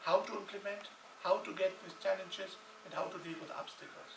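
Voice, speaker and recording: A man's voice: high-pitched, steady tone, brisk, vocal fry. A man from Lebanon giving a conference talk in non-native English, emphatic and repeating phrases for stress. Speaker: conference speaker. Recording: echoey hall, distant mic, noisy.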